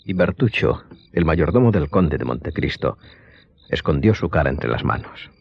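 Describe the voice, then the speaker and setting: Deep, husky male story narrator. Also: expressive, normal speed, dry recording. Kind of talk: scripted literary narration. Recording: dull, dry studio sound.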